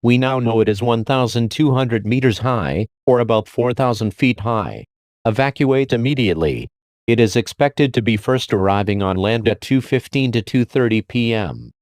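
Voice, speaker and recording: A man's voice: mid-range voice, expressive, normal speed, darkish tone, no room sound, studio quality. A man delivering a scripted emergency alert as an announcer.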